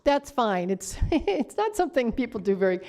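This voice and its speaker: A woman's voice: fairly high pitch, big pitch swings, moderate pace, a little mellow. A woman speaking as a mayor chairing a council meeting, courteous and procedural as she moves through formal business.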